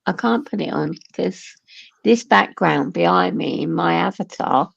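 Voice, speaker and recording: Woman, heavy vocal fry, slightly low pitch, casual panel chat, dull lo-fi stream audio. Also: expressive, unhurried, no echo.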